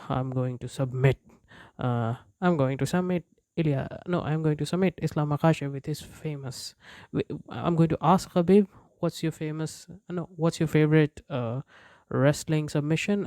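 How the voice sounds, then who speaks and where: A young man talks casually to his viewers in English, which is not his first language, and he keeps circling back with fillers and restarts. His voice is a touch high for a man but warm and mellow in tone. The recording is dry and close, with no room sound. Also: no echo. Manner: rambling, frequent fillers and restarts, chuckles often. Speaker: YouTuber. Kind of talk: casual unscripted vlog chat.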